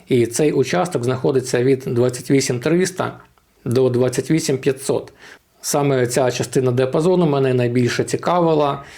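A man with a gravelly, creaky edge to an average-pitched voice. He explains things informally and step by step, like a hobbyist vlogger walking through a tutorial. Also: mellow. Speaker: amateur radio vlogger.

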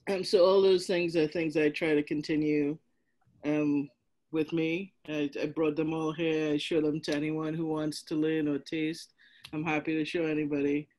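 A woman's voice: deep voice, little pitch movement, moderate pace, darkish tone, low-quality recording. A woman from Trinidad with a Trinidadian accent, speaking casually on a video call panel about her family's dishes. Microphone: video call, camera off.

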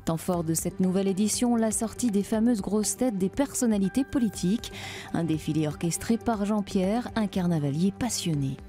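A woman news presenter reading scripted narration in a smooth, composed way. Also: medium pitch, normal speed, warm tone, soft background music.

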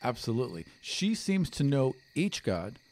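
A man's voice: medium pitch, sing-song, moderate pace, a little mellow. A man in his thirties improvising narration as game master, close on a podcast microphone in a small room. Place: small studio room, indoors. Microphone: close podcast microphone on a stand.

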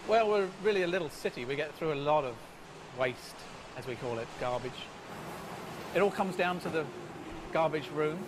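A man's voice: fairly high pitch, lively intonation, moderate pace. Middle-aged man explaining in a noisy room. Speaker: ship environmental officer. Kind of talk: interview.

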